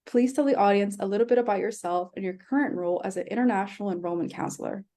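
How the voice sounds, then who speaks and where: A woman's voice: medium pitch, quick pace, dark tone. A woman from El Salvador moderating a live online session, reading upbeat scripted introductions. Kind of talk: live webinar hosting.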